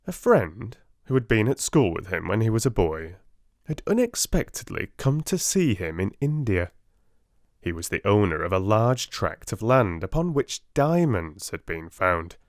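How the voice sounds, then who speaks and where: A man with a British accent giving a soft-spoken storybook narration as an audiobook reader. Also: fairly low pitch, no echo, clean studio sound.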